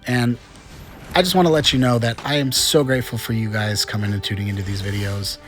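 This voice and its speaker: A man's voice: mid-range voice, fairly even intonation, a little fast, fairly bright tone, soft background music. A chatty, upbeat man hosting casual gameplay commentary with frequent fillers, a little congested from a cold. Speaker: gaming channel host.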